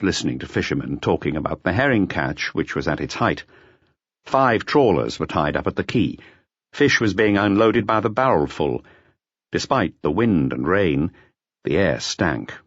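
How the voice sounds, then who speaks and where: A man's voice: deep voice, husky, no echo, lo-fi sound. A man reading a detective novel aloud as scripted audiobook narration.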